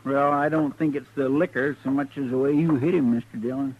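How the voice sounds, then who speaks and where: An actor playing a loyal, folksy marshal's helper with a deferential rural drawl in an old radio western. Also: male voice, mid-range voice, steady tone, brisk, narrow, tinny audio, lightly acted. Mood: respectful. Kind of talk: 1950s radio drama acting. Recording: thin, band-limited old radio with music.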